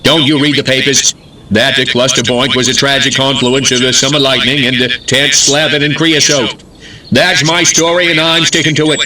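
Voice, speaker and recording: A man's voice: medium pitch, level tone, normal speed, sharp tone. A man voicing a shifty, blustering small-town mayor with an American accent, oratorical and evasive, in a video game. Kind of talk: video game cutscene acting. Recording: distant microphone, clear noise behind.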